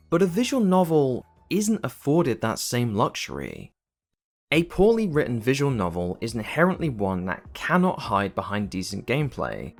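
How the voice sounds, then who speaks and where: A man narrating his own scripted video essay in a wry, self-aware, conversational way. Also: medium pitch. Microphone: voice-over.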